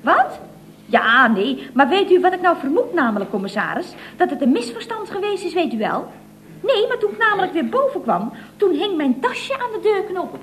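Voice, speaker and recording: An actress playing a chatty, feisty single secretary in an old radio comedy, talking in a sing-song way full of tag questions. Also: female voice, very high-pitched, sing-song, moderate pace. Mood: excitedly explaining. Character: chatty, indignant single female secretary, feisty and quick-tempered. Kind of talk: 1970s radio comedy acting. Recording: old radio recording with slight noise.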